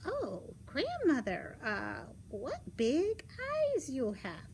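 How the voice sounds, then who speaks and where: A woman's voice: fairly high pitch, huge pitch swings, very slow, vocal fry, darkish tone, a little hiss or hum, full character voice. A woman voicing an innocent, cheerful storybook little girl with a singsong, wide-eyed way of talking. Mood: wondering.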